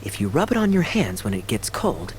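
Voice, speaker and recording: A man voicing a suave young captain in a video game, affectionate and courteous, with a gently theatrical manner. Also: mid-range voice, wildly swooping pitch, fairly quick, slight background noise. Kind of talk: video game character acting. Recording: background music under the voice.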